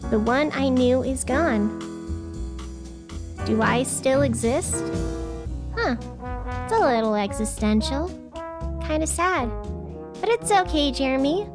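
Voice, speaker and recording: A high-pitched young woman hosts a fictional radio show as acted by a woman. She takes her time, chatting casually with wry little asides to herself. Music plays clearly behind her voice. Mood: reading aloud, then chatty, bemused. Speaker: radio host.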